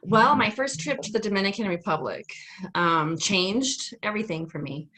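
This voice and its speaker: A woman who teaches bachata classes, chatting casually in a podcast interview, relaxed and hesitant with many fillers. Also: medium pitch, moderate pace, poor audio. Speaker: bachata dance instructor.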